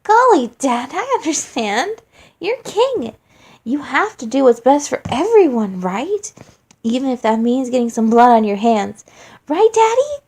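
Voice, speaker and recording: A small, cruel boyish flower monster, acted out by a woman reader in a storybook tale. The voice sits high and husky, sing-song with fake innocence. It taunts sweetly. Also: big pitch swings, unhurried, a little mellow, heavily acted. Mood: mock sympathy. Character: cruel, mocking young male flower monster feigning sweetness.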